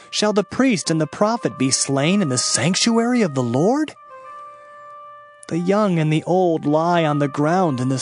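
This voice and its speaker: A man narrating scripture in a solemn, measured, dramatized reading. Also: high-pitched, a little mellow. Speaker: Bible narrator. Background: background music.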